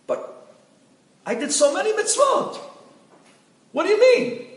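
A man's voice: extremely high pitch, big pitch swings, slow pace, pitched above the performer's own voice, heavily acted. A man voicing a frantic dead doctor who screams and pleads for his soul. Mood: pleading. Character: frantic deceased male doctor, pleading and desperate. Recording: echoey room, distant mic.

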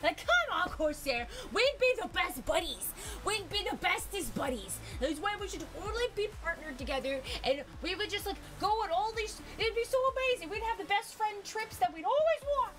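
A woman in her twenties, live-streaming from a gaming room with a desk mic, bubbly and excitable, laughing often. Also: extremely high pitch, moderate pace, hardly any pauses, poor audio. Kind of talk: casual live-stream chat. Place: gaming room lit with colored lights. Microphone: desk mic with headset. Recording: music under the voice. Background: background music.

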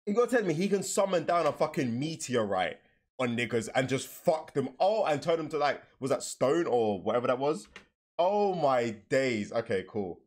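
A young man giving casual, excitable, slangy and sweary reaction commentary. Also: mid-range voice, moderate pace. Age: young adult. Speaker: reaction video host. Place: indoors, with trailer audio behind.

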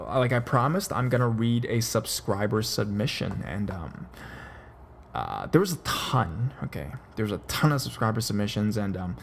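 A man's voice: lowish voice, a little hiss or hum. A man hosting a podcast, chatting casually and rambling, with frequent stammers and restarts. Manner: loose, chatty delivery with many fillers. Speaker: podcast host.

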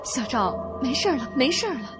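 Casting: actress as anxious young woman, horror radio play, high pleading voice, very lively pitch, music underneath. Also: quick pace. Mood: concerned. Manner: emotive, pleading. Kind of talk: Chinese suspense radio drama acting. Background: dramatic background music.

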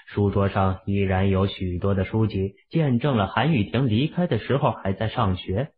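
A man's voice: lowish voice, normal speed, breathy edge. A man narrating scripted fiction as an audiobook reader. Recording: very narrow, phone-like sound.

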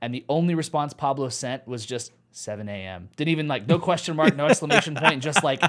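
A man's voice: a little high, a little fast. A man of about thirty chatting casually as a livestream host, joking and swearing freely, close on a microphone. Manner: profane, animated, joking. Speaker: host of a music listening livestream. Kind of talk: casual chat. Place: small home studio room. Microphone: close microphone on boom arm.

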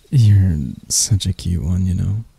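A man voicing a sadistic, seductive male spider-elf predator in intimate ASMR roleplay, breathy and teasing with his endearments. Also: low voice, warm tone, heavily acted. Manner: slow, teasing, purring endearments.

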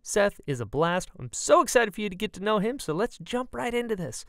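Animated male podcast host, close dry sound. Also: high voice, a little fast, vocal fry, no echo. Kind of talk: upbeat podcast intro and casual interview chat.

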